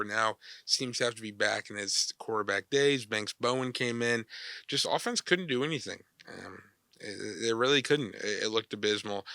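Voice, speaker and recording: A young man with a cold hosts his sports podcast, his nose a little stuffy. His voice is bright and smooth, and the sound is close and dry. He talks in a loose, chatty, energetic way. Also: on the low side, no room sound. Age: young adult. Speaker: podcast host. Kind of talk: casual sports talk.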